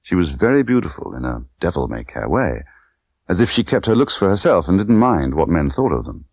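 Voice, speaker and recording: A man's voice: low-pitched, very animated, brisk, darkish tone, dry recording, studio quality. A man reading a novel aloud as scripted dramatic narration. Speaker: audiobook narrator.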